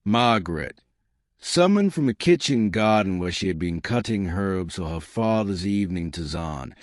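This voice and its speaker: A man narrating a historical romance story with measured, formal literary phrasing. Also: deep voice, animated, dry recording, clean studio sound. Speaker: audiobook narrator.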